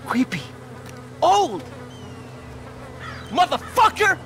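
Slow, wildly swinging, taunting punk. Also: male voice, very high voice, sing-song, gravelly, background noise, heavily acted. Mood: defiant. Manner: taunting, emphatic. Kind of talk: video game cutscene acting.

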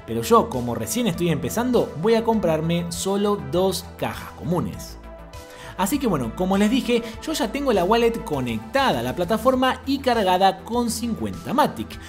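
A woman hosting a crypto channel, reading a smooth scripted tutorial voice-over in an even promotional delivery. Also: medium pitch.

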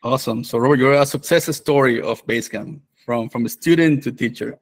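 A man's voice: medium pitch, a little fast. Male host, 30s, video-call mic, casual and chatty. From Venezuela. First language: Spanish. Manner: relaxed, many fillers and restarts. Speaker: developer advocate and course host. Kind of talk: live online course introduction.

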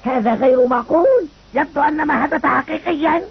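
A woman's voice: high voice, fairly quick, vocal fry. A woman playing a loyal, emotional young boy hero in a dubbed fairy-tale cartoon. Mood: indignant. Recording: dull lo-fi dub with loud music.

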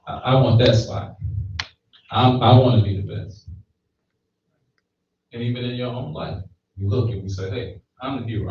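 A man's voice: mid-range voice, a little mellow, a little hiss or hum. Man, thirties, conversational preacher, handheld mic, very echoey hall, distant, dull sound. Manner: conversational preaching with frequent "right?" checks. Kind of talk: sermon. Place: small church hall with stage. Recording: echoey, distant, slightly dull room recording.

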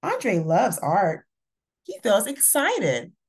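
A woman reading a children's picture book aloud in a deliberate, expressive storytelling manner. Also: medium pitch, breathy edge. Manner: slow, deliberate, expressive delivery for young children. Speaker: children's story reader and channel host.

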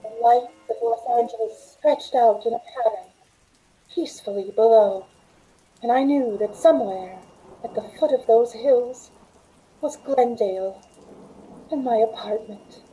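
A middle-aged woman playing a shaken waitress who recounts a terrifying ordeal in dramatic, halting radio-play narration. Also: fairly high pitch, dark tone, dull audio, natural voice. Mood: shaken, dazed. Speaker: lead actress in a radio play. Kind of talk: dramatic first-person radio acting. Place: room at home, on a video call.

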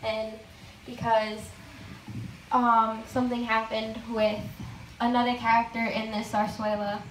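A young woman introduces her songs hesitantly, pausing often on fillers. Her somewhat high voice comes through a handheld mic but is heard from a distance. The small room around her rings with echo. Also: level tone, slight background noise. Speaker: guest singer. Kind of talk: casual introduction. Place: small room with brick walls and blinds, indoors. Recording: echoey room from a far camera.

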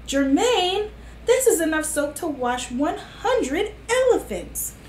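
A woman voicing a cross storybook father who loudly scolds his child. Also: very high voice, very animated, slow pace, pitched above the performer's own voice, heavily acted. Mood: exasperated yelling. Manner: loud, exasperated scolding. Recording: echoey room with faint hiss.

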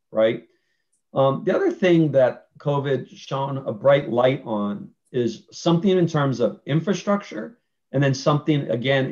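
A man's voice: mid-range voice, a little mellow. A man in his forties on a video call at a virtual town hall, explaining in an earnest way with many fillers and restarts. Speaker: panelist speaking for nursing homes. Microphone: video call webcam. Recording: muffled video call audio.

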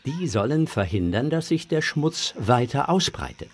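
A man narrating a children's audio drama in a scripted storytelling style, with a husky voice. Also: medium pitch, very animated, moderate pace.

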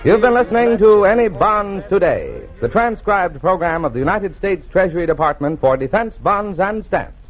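A man announces in scripted 1940s radio style. His voice sits high for a man, over soft music. The old recording is dull and narrow. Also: lively intonation, normal speed, few pauses. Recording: dull band-limited 1940s radio transcription.

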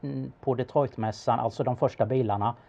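Gravelly-voiced man explaining, hesitant pauses. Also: mid-range voice, fairly even intonation, darkish tone. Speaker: car expert answering questions about an auction car. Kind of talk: casual expert commentary in a studio talk.

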